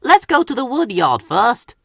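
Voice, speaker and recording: Man voicing an eager, anxious high-pitched little steam engine. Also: wildly swooping pitch, normal speed, creaky, fairly bright tone, no echo, studio quality, full character voice. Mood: scheming. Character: eager, anxious young male steam engine, fretful but well-meaning.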